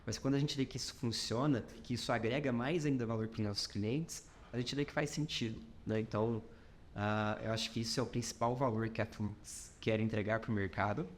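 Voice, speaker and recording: A man's voice: fairly low pitch, fairly even intonation, fairly quick, a little hiss or hum. A man with a Minas Gerais accent, a company founder being interviewed on a podcast, speaking reflectively with many fillers and short clauses. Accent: Minas Gerais Brazilian Portuguese. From Brazil.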